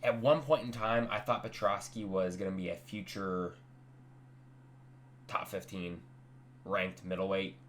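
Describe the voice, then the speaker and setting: A man's voice: low voice, steady tone, unhurried, long pauses. A young man with a stuffy, congested voice, giving a blunt, rambling reaction to a night of fights. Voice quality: nasal, stuffy. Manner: blunt, offhand, rambling with restarts. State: congested after sickness. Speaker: MMA fight reaction host. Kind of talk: casual post-fight reaction chat.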